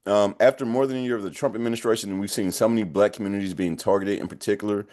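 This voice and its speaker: A man's voice: fairly low pitch, fairly even intonation, very fast, darkish tone. A man moderating a webinar panel, introducing guests in an upbeat way and often repeating his thanks.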